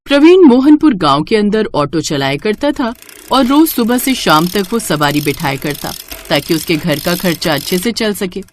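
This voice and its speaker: A woman narrating a scripted moral story for a cartoon in an expressive storytelling way. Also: fairly low pitch, moderate pace, a little hiss or hum.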